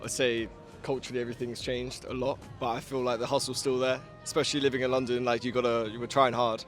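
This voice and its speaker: A man in his twenties talks casually in an interview. His mid-pitched voice stays flat and even, with faint music in the background. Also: fast. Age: 20s. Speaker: street interviewee. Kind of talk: casual opinion talk.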